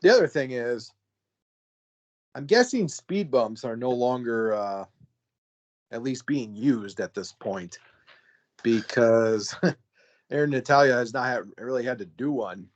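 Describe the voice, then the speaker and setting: A man's voice: medium pitch, expressive, slow pace, long pauses, lo-fi sound. A man hosting a casual reality-show review podcast, talking in a rambling way with many fillers and easy laughs. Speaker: podcast host. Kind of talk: casual chat.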